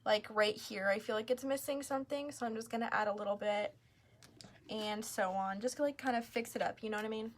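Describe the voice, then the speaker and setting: A woman's voice: on the high side, steady tone, fairly quick, a little hiss or hum. A woman casually hosting a live craft tutorial, chatting informally as she explains each step. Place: craft store.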